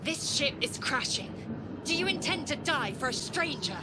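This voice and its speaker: A woman's voice: high-pitched, expressive, moderate pace. A woman playing a fierce, haughty alien warrior in a video game, speaking in imperious, clipped declarations. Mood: stern, impatient. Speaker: game character. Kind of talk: dramatic video game acting. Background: loud dramatic music.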